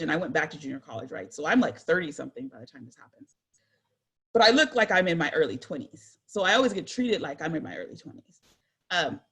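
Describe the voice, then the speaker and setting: A woman's voice: low-pitched, gravelly, fairly bright tone. A woman in her forties telling personal stories in a candid, earnest way, with frequent fillers and self-corrections. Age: 40s. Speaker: guest speaker.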